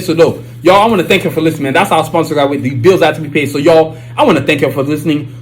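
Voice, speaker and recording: Animated Nigerian man hosting a podcast. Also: a little high. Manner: animated, repetitive, keeps saying "you know what I'm saying". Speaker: podcast host. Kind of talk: casual podcast outro with sponsor reads.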